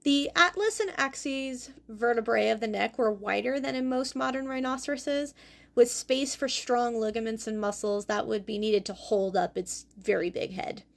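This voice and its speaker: Female host-teacher, young adult, slightly high voice with some vocal fry, clear explanatory lesson delivery. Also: normal speed. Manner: clear explanatory delivery, careful over hard names. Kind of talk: educational fossil presentation.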